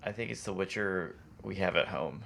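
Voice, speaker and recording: A man's voice: deep voice, moderate pace, vocal fry, fairly bright tone. A man talking casually as a podcast co-host. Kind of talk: casual chat.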